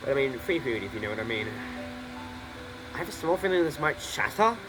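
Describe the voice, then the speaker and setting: A young man giving rambling, excitable gameplay commentary at home, swearing freely as he plays. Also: medium pitch, fairly quick, low-quality recording. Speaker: gaming streamer. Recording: voice over loud game audio. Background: loud game music.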